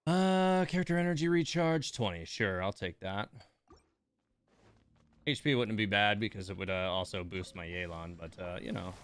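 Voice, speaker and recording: A man's voice: fairly low pitch, normal speed, smooth, dry recording. A man streaming a game, giving casual live commentary with muttered, mildly exasperated asides to himself.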